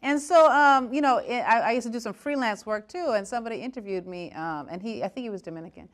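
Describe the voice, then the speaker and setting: A woman's voice: on the high side, fast talker. A woman who is a guest, speaking reflectively in a casual panel conversation with many "you know"s.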